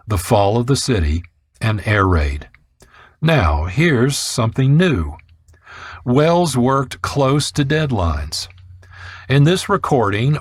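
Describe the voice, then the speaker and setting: A man's voice: mid-range voice, no room sound. A man reading scripted narration as a radio producer and host.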